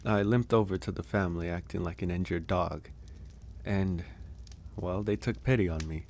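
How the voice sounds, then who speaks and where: A man playing a gentle, solitary werewolf in an ASMR audio roleplay, speaking softly and hesitantly, with sighs and pauses. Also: sits low, steady tone, a little hiss or hum. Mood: sheepish, amused. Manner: hesitant, soft-spoken, unhurried with sighs and pauses. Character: gentle solitary male werewolf caring for an injured stranger.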